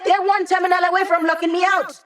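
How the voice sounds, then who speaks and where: Upbeat, wisecracking young hacker. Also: female voice, very high voice, little pitch movement, fast, fairly bright tone. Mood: urgent. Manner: casual, playful, teasing. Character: upbeat, wisecracking young woman hacker aiding villain squad. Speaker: voice actor. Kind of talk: video game voice lines.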